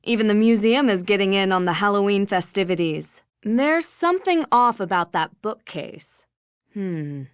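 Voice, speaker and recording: A woman's voice: mid-range voice, animated, moderate pace, smooth, dry recording, studio quality, close to an everyday voice. A woman playing an inquisitive, observant young detective in a video game. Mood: musing, curious. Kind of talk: game voice acting, musing observations.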